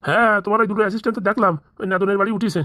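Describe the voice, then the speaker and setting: Blunt, chatty comedy-cartoon village uncle, acted by a woman: rapid, slightly low, dry recording. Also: fairly even intonation, fairly bright tone, dry recording, close to an everyday voice. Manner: chatty, needling, colloquial.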